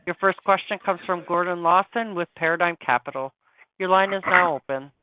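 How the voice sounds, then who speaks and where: A woman's voice: on the low side, fairly quick, background noise. A woman working as a conference call operator, reading scripted announcements. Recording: dry, narrow telephone audio.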